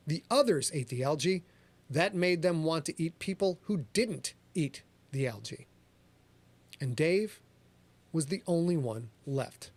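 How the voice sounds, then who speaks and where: A man hosting a livestreamed podcast, reading his own fiction aloud and chatting in a quick, energetic, profane, joking way. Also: a little high. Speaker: author and podcast host. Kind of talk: livestream chat and story reading. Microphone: livestream camera setup.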